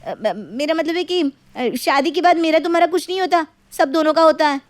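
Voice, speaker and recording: Woman playing young wife, storybook drama, very high voice, independent, exasperated, scolding. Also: brisk, no room sound, lightly acted. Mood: firm, assertive. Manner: scolding, assertive.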